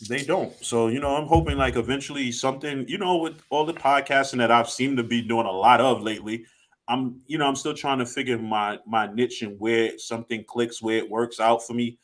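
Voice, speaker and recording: A man's voice: mid-range voice, steady tone, a little fast. Rambling man nearing forty, casual chat. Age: 30s. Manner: rambling, self-reflective, many restarts and "you know". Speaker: podcast co-host.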